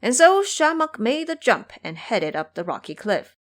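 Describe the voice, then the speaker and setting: A woman narrating an audio drama in an expressive storytelling style. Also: fairly high pitch, moderate pace.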